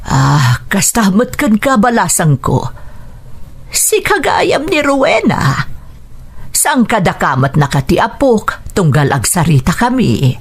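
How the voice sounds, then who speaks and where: A woman's voice: mid-range voice, sing-song, slow pace, breathy edge, full character voice. An actress with an Ilocano accent playing a strict but kindly older mother, doubtful and protective, in a radio drama. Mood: curious, doubtful.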